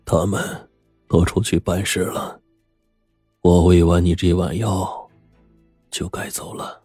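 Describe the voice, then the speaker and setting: A man voicing a remorseful, weary household steward in an audiobook, hushed and husky, with heavy resignation. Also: deep voice, level tone, slow, no echo, studio quality, faint music behind, full character voice. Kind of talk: audiobook character acting.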